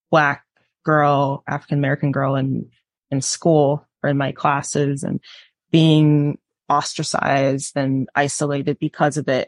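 A woman in her twenties sharing personal experience candidly as an interview guest, pausing to choose her words. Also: deep voice, dry recording. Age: 20s.

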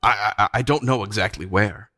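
A man voicing a stubborn, gloomy but stout-hearted fellow in an audiobook, speaking plainly and haltingly, a little short of breath. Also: on the low side, pushed and bright, no echo, studio quality. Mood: stout, struggling. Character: stubborn, gloomy, stout-hearted male marsh creature companion. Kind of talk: audiobook character voice.

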